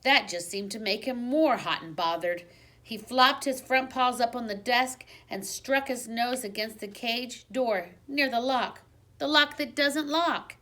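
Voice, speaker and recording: A woman's voice: a little high, measured pace. Woman reading a children's story expressively. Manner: dramatic emphasis on exciting moments. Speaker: teacher reading a children's book aloud.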